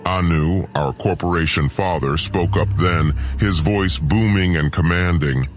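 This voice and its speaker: Male audio drama narrator, low-pitched, solemn and measured, formal delivery over faint music. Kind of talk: scripted first-person dramatic narration.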